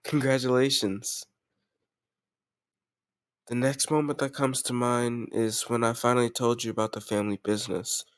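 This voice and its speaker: A man's voice: mid-range voice, level tone, normal speed, long pauses, dry recording, studio quality, natural voice. A man playing a confident, doting mafia boss boyfriend in an intimate roleplay audio, speaking affectionately and directly to a lover. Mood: fond, proud. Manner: affectionate, self-assured, addressing a lover directly. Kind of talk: intimate roleplay audio, scripted romantic monologue.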